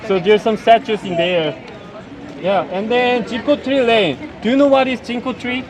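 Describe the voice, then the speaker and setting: High-pitched Korean-accented male tour guide, crowd around. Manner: halting, instructive, often checks understanding. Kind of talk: explaining a route to tourists. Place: outdoors at a busy tourist site. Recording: crowd chatter, outdoor live capture. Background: crowd noise.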